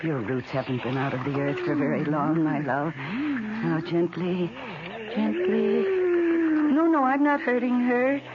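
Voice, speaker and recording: A woman's voice: on the low side, sing-song, a little slow. An actress playing an eccentric, doting wife who coos and fusses over her plants in an old radio drama. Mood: tender, cooing. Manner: cooing, coaxing, fussing. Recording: noisy, muffled old radio broadcast.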